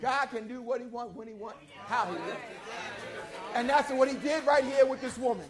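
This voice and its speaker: A man preaching a sermon, building into shouted, rhythmic calls to the congregation. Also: extremely high pitch, normal speed, a crowd in the background.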